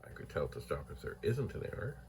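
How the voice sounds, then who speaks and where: A soft-spoken man casually thinking aloud while testing old computer gear in his home computer room, trailing into whispered asides. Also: extremely low pitch, sing-song, fast, creaky, slight background noise. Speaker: retro computer vlogger. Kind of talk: casual rambling narration while testing hardware. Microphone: phone mic.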